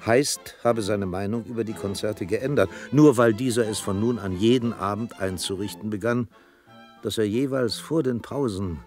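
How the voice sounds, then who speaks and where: An actor playing a reflective storyteller, narrating a radio drama in a measured, thoughtful, literary way. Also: male voice, on the low side, a little mellow, natural voice. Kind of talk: scripted radio play narration.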